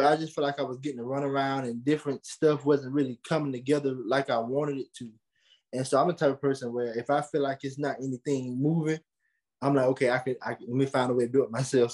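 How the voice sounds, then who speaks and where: A man's voice: mid-range voice, fairly even intonation, fast talker, darkish tone. A man, answering interview questions conversationally. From South Carolina, USA. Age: late teens. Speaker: interview guest, podcaster and motivational speaker.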